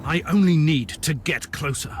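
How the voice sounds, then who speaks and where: A man's voice: fairly high pitch, over music, lightly acted. Actor as stern, commanding male Inquisitor. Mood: composed, commanding. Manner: measured, dry, authoritative. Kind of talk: dramatic game cutscene dialogue.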